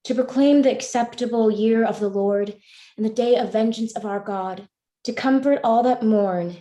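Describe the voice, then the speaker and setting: A woman's voice: fairly high pitch, moderate pace, warm tone, close to an everyday voice. Woman as earnest devout young woman, echoey room. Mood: earnest, solemn. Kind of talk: live staged play reading. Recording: roomy, echoing voice pickup.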